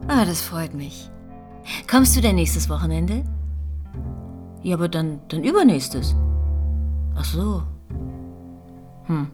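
An actress playing a tender, middle-aged policewoman and mother in a radio drama, speaking haltingly and naturally. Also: on the low side, very animated, mellow, soft background music. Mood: affectionate, wistful. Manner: halting, conversational.

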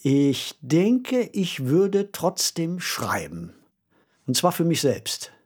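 Husky-voiced man discussing a radio play. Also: fairly high pitch, wildly swooping pitch, slow pace, a little mellow.

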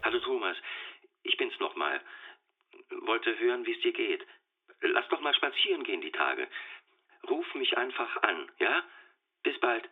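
A concerned friend in a radio play, heard through a tinny, narrow answering machine. He is casual, gentle and a little hesitant, with a reassuring manner at a middle pitch. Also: sing-song, a little slow, long pauses. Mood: gently urging. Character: concerned, gentle male friend leaving phone messages. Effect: telephone answering machine filter. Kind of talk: naturalistic radio drama.

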